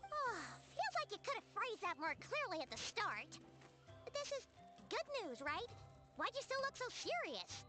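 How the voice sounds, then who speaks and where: A woman voicing a tiny, excitable, childlike fairy companion in a video game, squeaky and chatty. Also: moderate pace, over music, exaggerated voice. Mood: puzzled, surprised. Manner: animated, chatty, expressive.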